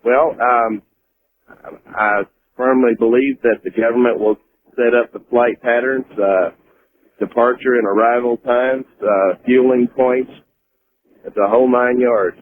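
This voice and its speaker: A man's voice: mid-range voice, fairly even intonation, unhurried, a little hiss or hum. An American man, a trucker, talking casually as a caller to a radio show. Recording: thin phone line on radio.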